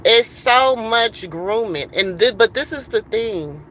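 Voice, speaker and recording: A woman's voice: fairly high pitch, lively intonation, slow, fairly bright tone, a little hiss or hum. A middle-aged woman ranting on a casual livestream from a car, heated and rambling with many fillers. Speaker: livestream host.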